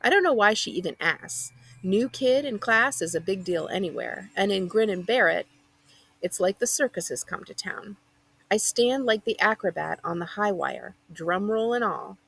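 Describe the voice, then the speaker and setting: A woman librarian reading a first-person children's novel aloud as expressive narration. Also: mid-range voice, moderate pace, gravelly, breathy edge, no echo, poor audio.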